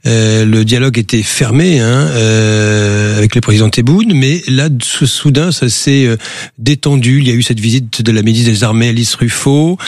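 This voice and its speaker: A man's voice: medium pitch, normal speed, a little mellow, no room sound, clean studio sound. A man hosting a live radio phone-in, conversational, with hesitant, trailing phrasing. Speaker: radio talk show host.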